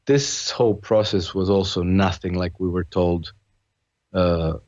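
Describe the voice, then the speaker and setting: A man from Croatia with a very low voice talks earnestly as a podcast guest, restarting his phrases and filling pauses as he goes. The sound is dry and a little dull, with a lo-fi edge. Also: a little mellow, no echo. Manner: earnest, with many restarts and fillers. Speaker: podcast guest, author and trader. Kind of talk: conversational interview.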